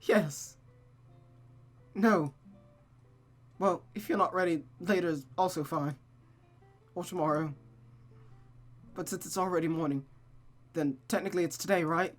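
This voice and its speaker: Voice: mid-range voice, a little slow, pauses often, faint music behind, voice pushed up, natural voice. Someone acting out a lovestruck anxious young woman from a visual novel, earnest n pleading, kinda faltering.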